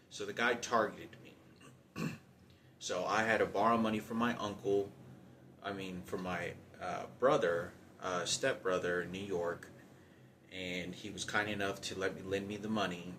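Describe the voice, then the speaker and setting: A man giving an unscripted personal monologue about his own hardships, halting and often circling back to repeat himself. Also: sits low, fairly bright tone, slight background noise. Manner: halting, repetitive, circling back over points. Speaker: storyteller recounting personal hardship.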